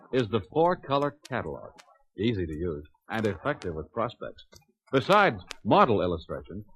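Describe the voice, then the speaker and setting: A man's voice: fairly low pitch, a bit bright. A man playing a confident, plain-spoken American truck salesman, giving a persuasive, folksy scripted testimonial in a 1950s training filmstrip. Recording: muffled, noisy 1951 filmstrip soundtrack.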